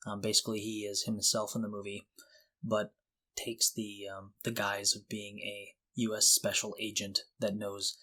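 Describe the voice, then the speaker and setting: Low-pitched man chatting on a podcast. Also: completely flat delivery, moderate pace, bright, forceful tone. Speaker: podcast co-host. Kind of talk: casual podcast chat.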